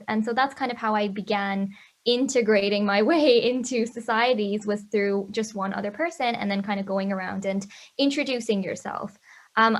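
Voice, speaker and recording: A young woman, a law student on a video call, chatting casually with frequent laughs and fillers. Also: medium pitch. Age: young adult. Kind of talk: casual webinar chat.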